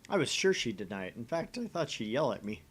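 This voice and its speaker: A man's voice: medium pitch, very animated, quick pace, a little hiss or hum, close to an everyday voice. A man playing a theatrical, pompous young self-styled mad scientist in a visual novel, switching between grand pronouncements and narration. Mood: reflective narration. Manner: grandiose declarations, quieter asides as narrator.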